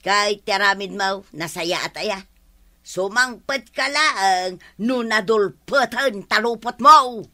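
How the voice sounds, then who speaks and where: An actress playing a long-suffering, sharp-tongued village wife in an Ilocano radio comedy, nagging and sarcastic, with an Ilocano accent. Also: female voice, medium pitch, slow.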